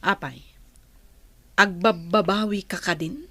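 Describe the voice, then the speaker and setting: A woman's voice: fairly low pitch, slow, a bit bright, no room sound, exaggerated voice. A woman playing a weary, concerned older-sister figure, probing and advising, in an Ilocano audio drama.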